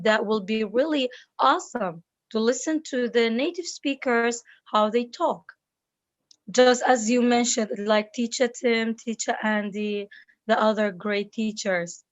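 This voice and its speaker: An English teacher from Kurdistan with a Kurdish accent, speaking earnestly on a video call and repeating words while searching for them. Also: female voice, on the high side, fairly even intonation, takes it slowly, no echo, dull audio. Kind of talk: casual video call interview.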